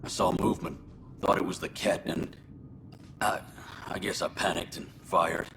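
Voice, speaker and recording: An actor playing a weary, resigned colonist imprisoned for murder, speaking in a halting, subdued way in a video game. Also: male voice, sits low, fairly even intonation, creaky, heavy background noise. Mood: remorseful.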